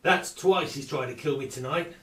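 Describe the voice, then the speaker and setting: A man's voice: medium pitch, normal speed, fairly bright tone, lightly acted. A man playing a world-weary, suspicious Victorian detective inspector in a radio drama. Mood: puzzled.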